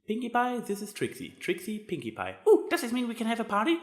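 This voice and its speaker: Bubbly, excitable young party pony mare, played by a man, pitched very high, for an amateur storybook reading. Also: fast talker. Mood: excited. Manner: excitable, exclaiming. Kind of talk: amateur fan fiction reading.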